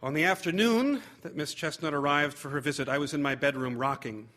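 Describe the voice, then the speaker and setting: A man reading a humorous memoir aloud as theatrical narration, wry and expressive with deliberate phrasing. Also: on the high side. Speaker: actor reading a short story.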